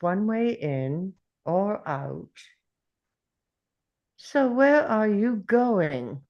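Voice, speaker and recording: A woman's voice: medium pitch, big pitch swings, extremely slow, dull audio, full character voice. A woman playing a wry, thoughtful, eccentric time traveller in a drama table read, musing aloud. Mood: wry, probing.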